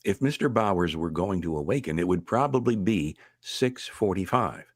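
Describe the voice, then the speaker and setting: A man narrating a vintage-style radio drama as a grave storyteller, with dramatic, deliberate emphasis. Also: low-pitched, animated, dry recording, studio quality. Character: grave, suspense-building male storyteller.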